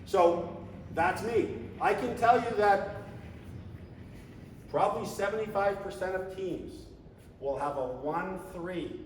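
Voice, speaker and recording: A man leading a coaching clinic, talking in an animated, conversational way and now and then shouting coaching calls. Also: high voice, takes it slowly, pauses often, slight background noise. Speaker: flag football coach. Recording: echoey hall, distant mic.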